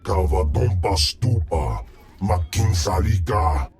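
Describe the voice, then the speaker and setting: A man voicing a huge, imperious alien crime king in a video game, pompous and booming, speaking an invented alien tongue. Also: animated, takes it slowly, darkish tone, soft background music, full character voice.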